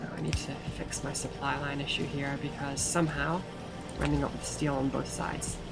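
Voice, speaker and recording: A woman's voice: a bass voice, little pitch movement, brisk, over loud music. A British woman with a shifting mix of English, Scottish and American accent, chatting casually and rambling with many restarts while streaming a game. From Britain.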